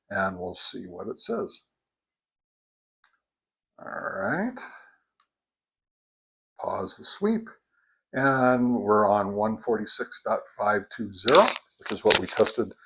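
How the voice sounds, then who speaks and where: A man's voice: on the low side, expressive, a little slow, long pauses, a bit bright, slightly rough. A man doing a casual, plainspoken review of radio equipment, with frequent fillers and "all right?" tags.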